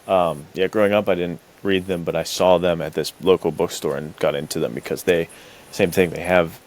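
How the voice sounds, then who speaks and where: A man's voice: deep voice, fairly even intonation, a little fast. A man hosting a podcast in casual chat, speaking with slangy enthusiasm. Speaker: podcast host.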